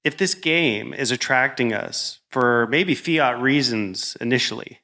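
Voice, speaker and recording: A man speaks in a panel discussion with a bright, forceful tone. His pitch is average. He thinks aloud in long, abstract sentences, searching for the shape of his idea. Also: a little slow.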